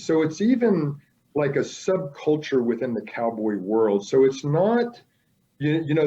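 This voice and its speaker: A man with a warm, mellow voice explains things at an easy pace, filling pauses with "you know". The sound is dull and lo-fi, coming through a video call. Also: mid-range voice. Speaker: documentary filmmaker, guest. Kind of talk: casual interview over video call.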